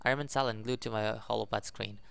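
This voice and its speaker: A man hosting a gaming video, reading a visual novel aloud in a halting way, with casual commentary. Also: lowish voice, steady tone, gravelly, a bit bright, clean tone. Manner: halting, stumbles over the text.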